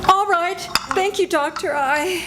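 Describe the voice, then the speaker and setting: An older woman playing a frightened, suspicious young woman in a radio play reading, anxious and halting. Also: extremely high pitch. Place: senior center event room, live reading. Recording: noisy live room.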